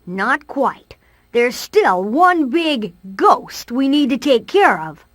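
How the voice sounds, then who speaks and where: A bookish little boy inventor, high and very animated. He is precise and curious in a cartoon game, recorded dry with faint music underneath. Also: slow, no room sound, exaggerated voice. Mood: assured. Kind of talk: cartoon video game acting.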